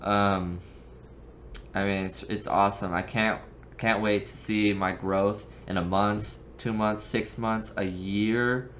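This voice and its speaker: Low-voiced teenage guy, noisy home recording. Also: fairly even intonation, slow, a bit bright. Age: seventeen, late teens. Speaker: amateur trader, video creator. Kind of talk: casual recap vlog talk. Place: small bedroom, indoors. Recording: home webcam recording with background noise.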